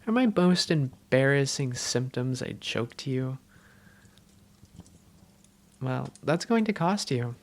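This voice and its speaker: A woman playing an affectionate, self-doubting vampire girlfriend in a cozy roleplay audio, speaking in an intimate, teasing and sometimes halting way. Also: rumbling low, expressive, exaggerated voice. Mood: playful, mock-stern. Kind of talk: cozy roleplay audio, one-sided conversation.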